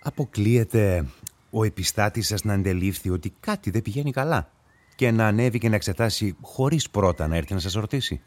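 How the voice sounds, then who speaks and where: An actor playing a sceptical police inspector in a radio play, musing and questioning in a dryly ironic way. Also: male voice, fairly low pitch, lively intonation, natural voice. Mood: probing, ironic. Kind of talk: radio play acting and monologue.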